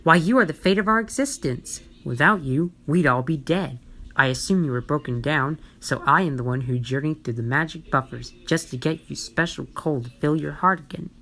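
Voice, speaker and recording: A woman's voice: deep voice, normal speed, a bit bright. A woman voicing a cheerful young male tank engine who talks eagerly. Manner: eager, talkative.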